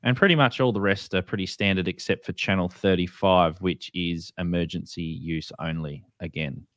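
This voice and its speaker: Deep-voiced Australian man explaining casually. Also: moderate pace, a bit bright, no room sound. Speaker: host. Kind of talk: casual explainer with screen share.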